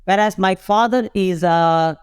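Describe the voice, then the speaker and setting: A podcast host in their fifties with a South Indian English accent, speaking Tamil as a first language. The voice is smooth and resonant, with a dry, close sound and no room around it. The delivery is slow and wandering, full of restarts and corrections as the host works toward each point. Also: mid-range voice, no room sound. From Tamil Nadu, India. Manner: rambling, repeats and corrects itself, slow deliberate phrasing. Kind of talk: motivational podcast monologue.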